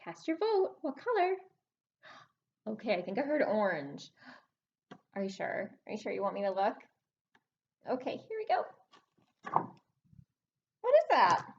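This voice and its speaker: A woman hosting a children's story time, reading aloud in a sing-song, animated way and prompting young listeners with questions. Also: medium pitch, measured pace, pauses often, muffled recording.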